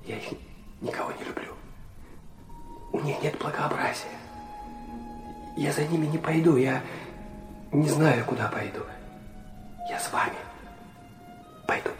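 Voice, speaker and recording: An actor playing an intense, proud young man weakened by illness, narrating and speaking earnestly and haltingly in a literary audio drama. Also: a little high, over music, lightly acted. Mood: fervent. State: weak from illness.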